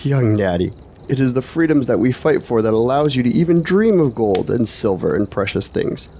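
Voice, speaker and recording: An actor playing a stern mentor to young villagers, solemn and exhorting, in formal fantasy radio drama style. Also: male voice, medium pitch, animated, moderate pace, mellow, slight background noise, close to an everyday voice. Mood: admonishing.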